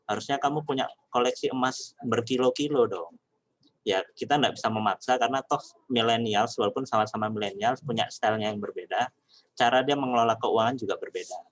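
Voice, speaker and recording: A man's voice: medium pitch, a little fast, no echo. A man presenting a finance talk on a webinar, explaining patiently and filling with "nah". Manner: explanatory, addresses audience as friends, many "nah" fillers. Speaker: webinar speaker on personal finance.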